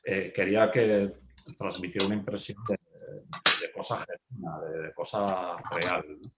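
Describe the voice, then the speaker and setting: A man's voice: sits low, steady tone, moderate pace, reverberant, heavy background noise. A man from Spain, an author being interviewed, answering thoughtfully with many fillers, restarts and questioning tags. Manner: hesitant, many fillers and restarts, ends phrases with a questioning tag. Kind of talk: reflective conversational answer.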